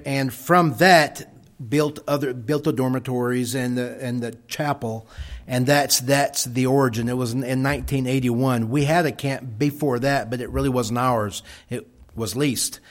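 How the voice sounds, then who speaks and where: A man with a Texas drawl talks to a church crowd about his mission work. He speaks very slowly, restarting his sentences often. The tone stays folksy and plain. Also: mid-range voice. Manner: slow, folksy, halting with many restarts.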